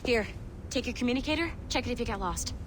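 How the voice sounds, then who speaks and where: A woman playing a brisk, sarcastic modern tech specialist in a video game, urgent and sardonic. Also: a little high, lively intonation, background noise, close to an everyday voice. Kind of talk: video game cutscene acting. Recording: music behind the voice.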